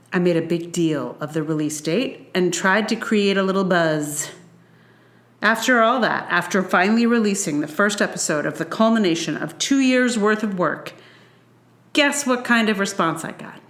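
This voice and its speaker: A woman podcast host reading her own personal essay aloud with measured, deliberate phrasing. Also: mid-range voice, lively intonation, fairly bright tone, roomy sound.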